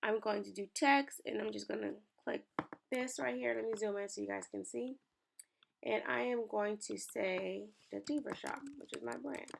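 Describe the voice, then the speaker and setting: A woman's voice: fairly high pitch, normal speed, noisy background. A young woman, chatting upbeat with viewers as she walks through a design tutorial on her screen. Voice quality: raspy. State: raspy from early morning. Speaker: small business owner and lifestyle creator. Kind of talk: screen-share tutorial walkthrough.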